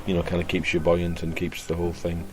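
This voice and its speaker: A man's voice: low-pitched, completely flat delivery, quick pace, very noisy. A British man, an actor, chatting casually in an interview. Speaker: guest actor. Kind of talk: casual behind-the-scenes interview.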